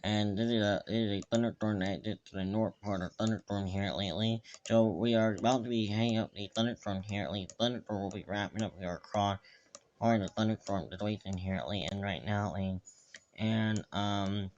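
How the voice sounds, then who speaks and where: A man giving improvised live weather commentary, halting and repetitive, stumbling over phrases and place names. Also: on the low side, steady tone, darkish tone, a little hiss or hum. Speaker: livestream weather host. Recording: dull lo-fi microphone sound.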